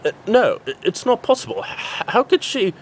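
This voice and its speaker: Man acting a flustered, high-strung time-travelling pony doctor. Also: big pitch swings, moderate pace, vocal fry, slight background noise, exaggerated voice. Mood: bewildered.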